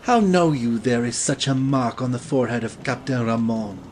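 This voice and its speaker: A woman's voice: extremely low pitch, moderate pace, darkish tone, slight background noise, voice pushed down. A woman voicing a bold, mocking young nobleman swordsman in a storybook reading. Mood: commanding. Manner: mocking, commanding. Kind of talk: audiobook character voice.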